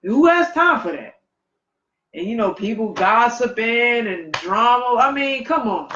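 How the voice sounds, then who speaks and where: A man with a notably high voice co-hosting a casual livestream talk show. He speaks emphatically, often tacking "man" onto his lines. He sounds echoey and muffled, as if captured in a bare room on a lo-fi stream. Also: very animated, unhurried, bright, forceful tone, a little hiss or hum. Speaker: podcast co-host. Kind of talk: casual chat. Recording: echoey, dull lo-fi livestream audio.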